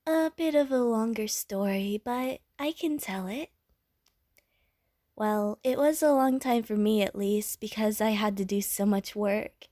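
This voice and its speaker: A woman's voice: medium pitch, unhurried, mellow, dry recording, studio quality, lightly acted. A woman voicing a friendly, bubbly, naive female water slime who rambles chattily to the listener in an audio roleplay. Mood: chatty.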